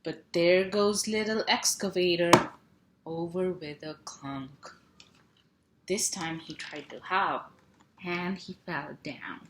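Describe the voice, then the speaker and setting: A woman reading a picture book aloud to young children in a sing-song, animated way, stretching sound words and asking simple questions. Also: lowish voice, slow. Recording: roomy echo, clear background noise.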